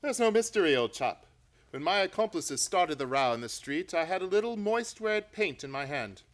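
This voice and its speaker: Man as commanding detective, higher pitch, very expressive, confident, secretive, radio drama. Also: moderate pace. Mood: triumphant. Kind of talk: theatrical radio play acting.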